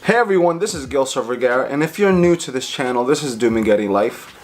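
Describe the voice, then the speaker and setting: A man's voice: mid-range voice, lively intonation, a little fast, over music. Man, 30s, from the Philippines, raised in the US; casual, friendly vlog host. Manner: chatty, repeats words when searching. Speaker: vlogger.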